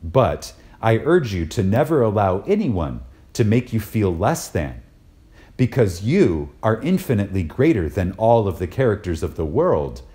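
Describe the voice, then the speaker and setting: A man reading a spiritual lecture aloud in a reverent, dramatic style, deliberate and emphatic, with pauses for effect. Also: deep voice, dark tone.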